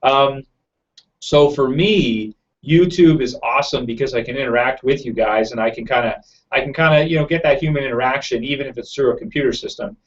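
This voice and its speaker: A man hosting a casual live chat from home, answering viewer questions quickly with lots of fillers, his throat a bit raw. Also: mid-range voice, highs cut off. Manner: fast-talking, rambling with many fillers. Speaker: YouTube channel host.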